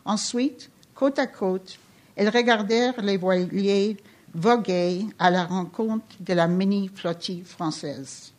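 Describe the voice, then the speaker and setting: A woman author reading an excerpt of her novel aloud in French, which is not her first language, steady with small restarts. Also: mid-range voice, slow.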